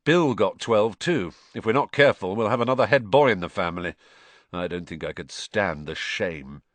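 Man voicing a wry teen wizard twin, joking, slightly louder, dry. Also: lowish voice, moderate pace, no room sound, natural voice.

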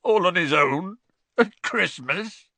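A man voicing a huge, soft-hearted gamekeeper who sobs and talks in a rough rustic dialect. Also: fairly low pitch, lively intonation, normal speed, no echo. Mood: sobbing.

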